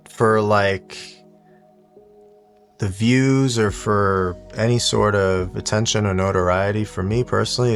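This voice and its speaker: A man talking casually and reflectively in a monotone, low-key, unhurried way, like a personal video diary for his hobby channel. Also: gravelly. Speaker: YouTube watch collector and content creator. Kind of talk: casual reflective solo vlog talk.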